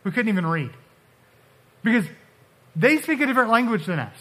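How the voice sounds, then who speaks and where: A man preaching a casual sermon, talking in a loose, animated way with lots of fillers and acted-out quotes. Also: high voice, brisk. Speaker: pastor.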